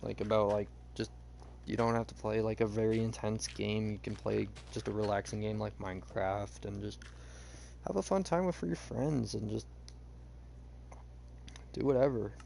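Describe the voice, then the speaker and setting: A young man chatting casually while he plays a game, rambling with lots of fillers, his voice a bit hoarse. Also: lowish voice, steady tone, moderate pace, pauses often, darkish tone, slight background noise. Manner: rambling, hesitant, many fillers. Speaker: streamer. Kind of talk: casual gameplay chat.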